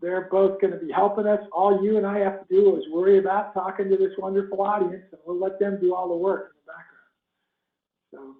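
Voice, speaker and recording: High-voiced upbeat man hosting a webinar. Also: little pitch movement, moderate pace. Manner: upbeat, chatty, enthusiastic. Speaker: webinar host. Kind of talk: casual online webinar hosting. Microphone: video call. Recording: tinny phone-like line, echoey room.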